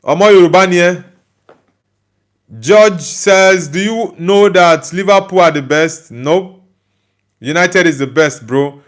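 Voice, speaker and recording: A man's voice: sits high, slow, long pauses. Nigerian man hosting a casual football stream. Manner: loose, hesitant talk with many fillers and restarts. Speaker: football channel host reading live chat. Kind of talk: casual live-stream chat.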